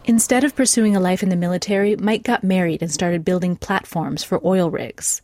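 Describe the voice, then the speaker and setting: A woman with a lowish voice reads documentary narration in a measured, clear way. She is recorded close to the mic, and the sound is dry with no room echo. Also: mellow.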